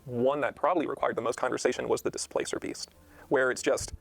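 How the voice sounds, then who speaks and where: A man's voice: mid-range voice, steady tone, fast talker, gravelly, no room sound. A man explaining a game book as one of its designers, earnest, emphatic and detailed in an interview setting. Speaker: game designer discussing a rulebook.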